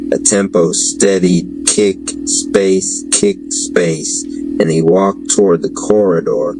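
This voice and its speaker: Slow, gravelly deep-voiced male narrator. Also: fairly even intonation, poor audio. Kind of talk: scripted dark fantasy narration. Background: background music.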